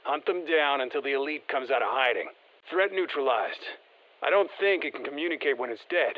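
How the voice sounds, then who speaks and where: A man plays a seasoned special-forces operator from a video game, giving mission guidance in terse, matter-of-fact phrases. He sounds steady and encouraging, with a slightly dull edge to the audio. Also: mid-range voice, brisk, no room sound, natural voice. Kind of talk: scripted video game voice lines.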